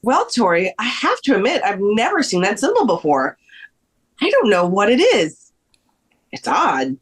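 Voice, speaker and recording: A woman's voice: mid-range voice, huge pitch swings, measured pace, husky, full character voice. A woman playing a polite, helpful tour guide in a scripted table read. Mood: puzzled.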